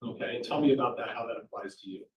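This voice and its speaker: Man attorney addressing a jury panel, loud and projecting, full of "um"s and restarts. Heard from a distance in a big, reverberant courtroom, his voice carrying as he circles his points. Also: mid-range voice, rapid-fire. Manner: loud projecting voice, rambling with fillers. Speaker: attorney questioning prospective jurors. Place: large courtroom, indoors. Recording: echoey courtroom audio from far off.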